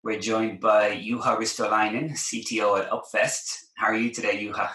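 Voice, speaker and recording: A male podcast host with a lowish, bright voice who sounds polished and upbeat as he introduces his guest and asks questions. His voice carries a noticeable room echo. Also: steady tone, moderate pace. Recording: roomy echo.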